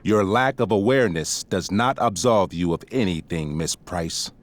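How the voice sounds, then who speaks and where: An actor playing a stern, pompous middle-aged school principal, speaking formally and with measured weight in a video game drama. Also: male voice, low voice, close to an everyday voice. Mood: reproachful.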